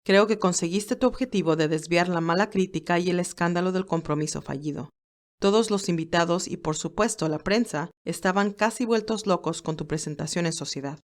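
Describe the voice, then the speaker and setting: A woman voicing a loyal, formal male business aide in an audio story, measured and advising. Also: fairly low pitch, natural voice. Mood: congratulatory.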